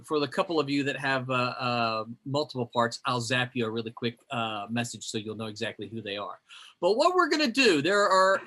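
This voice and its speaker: A man's voice: a little high, sharp tone. A man hosting a group reading on a video call, explaining the rules in a chatty, rambling way. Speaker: host.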